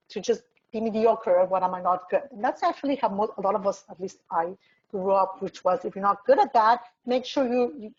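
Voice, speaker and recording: A woman with a Mexican accent, a native Spanish speaker with a husky voice, speaking as a personal development coach in a motivational talk, addressing listeners directly. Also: mid-range voice, darkish tone, slight background noise. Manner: direct address with rhetorical questions. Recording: dull, slightly muffled lo-fi sound.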